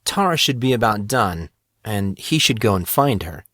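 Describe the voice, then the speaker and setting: A man's voice: fairly low pitch, big pitch swings, normal speed, a bit bright, no room sound, studio quality. A man reading scripted fantasy fiction as an audiobook narrator.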